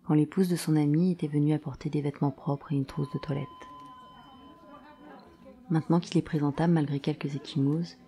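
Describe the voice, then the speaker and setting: A woman's voice: deep voice, mellow, husky. A woman narrating a scripted audio drama in terse, present-tense storytelling.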